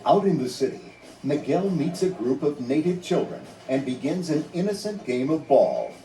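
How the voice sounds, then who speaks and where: A man narrating a scripted storybook read-along in a measured, dramatic storytelling manner. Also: on the high side, very animated, dark tone, echoey room, recorded from a distance, low-quality recording.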